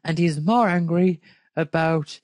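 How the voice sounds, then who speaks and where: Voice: mid-range voice, a little slow, a little mellow, no echo, studio quality. A person reading fan fiction aloud and breaking off to question and poke fun at it off the cuff. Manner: breaks off to question and mock the text. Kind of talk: amused read-aloud with off-the-cuff commentary.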